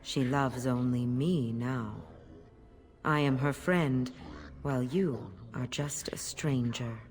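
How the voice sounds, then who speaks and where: Low, sly demon cat. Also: female voice, darkish tone. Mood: calm, coaxing. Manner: formal, measured, coaxing speech. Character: sly possessive demon in the form of a talking cat. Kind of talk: video game voice acting.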